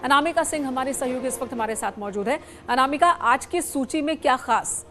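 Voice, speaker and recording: A woman's voice: high voice, fairly quick, a bit bright. A woman presenting television news as an anchor.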